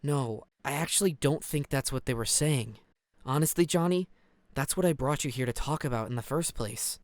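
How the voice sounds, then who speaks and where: A man plays a calm, insightful mentor in a fantasy audio drama. He speaks in a measured, courteous way at a middle pitch, with very little room sound. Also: no echo, lightly acted. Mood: reasoning. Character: Nobu, calm, polite, insightful male mentor.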